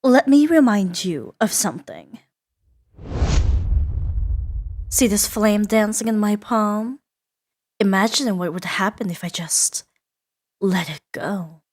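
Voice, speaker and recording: Woman acting a slow, seductive, menacing demoness. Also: medium pitch, a little mellow, natural voice. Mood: threatening, coaxing. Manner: slow teasing delivery, drifting into breathy whispers. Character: seductive, menacing, playful female demon with imperious poise. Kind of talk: scripted roleplay ASMR audio drama.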